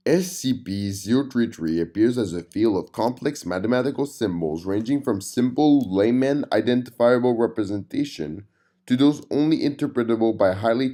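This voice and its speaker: A man's voice: fairly low pitch, a little mellow. A man giving a scripted narration of a formal document in a clinical manner.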